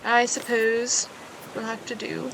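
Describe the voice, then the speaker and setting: A woman with a slightly hoarse voice giving casual, chatty commentary while she plays a survival game, making wry asides to herself. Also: on the high side, level tone, takes it slowly, noisy background. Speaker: gaming video creator.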